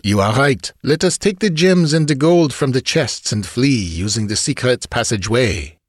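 A man's voice: mid-range voice, lively intonation, moderate pace, dry recording, clean studio sound, pitched above the performer's own voice, close to an everyday voice. A man voicing a ruthless, cunning male bandit chief who snarls commands. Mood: scheming.

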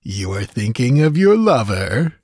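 A man's voice: medium pitch, very animated, measured pace, darkish tone, slightly rough, no echo, clean studio sound, full character voice. A man voicing a cruel, lecherous sorcerer-priest in an audiobook story, sardonic and purring with false courtesy. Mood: taunting. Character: cruel, mocking, smugly lecherous male sorcerer-priest villain.

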